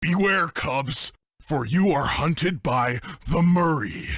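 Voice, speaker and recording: A man's voice: a little high, lively intonation, takes it slowly, fairly bright tone, no echo, clean studio sound, heavily acted. A man voicing a big, dim, boastful animal strongman in a cartoon video game, with a grandiose, hearty manner. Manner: grandiose, speaks of himself in third person.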